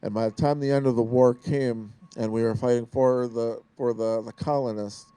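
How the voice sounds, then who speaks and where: A middle-aged man with a big, carrying voice, giving an earnest, storytelling speech to a crowd, often pausing with "uh" and restarting his sentences. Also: mid-range voice, steady tone, warm tone, clear, resonant tone, no room sound, low-quality recording. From Wisconsin, USA. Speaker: invited speaker. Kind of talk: public speech at an outdoor event.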